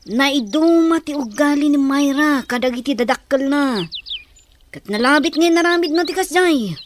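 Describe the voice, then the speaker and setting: High-voiced serious tribeswoman played by a woman. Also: animated, normal speed, a little hiss or hum. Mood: grave. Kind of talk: dramatic dialogue in an Ilocano film.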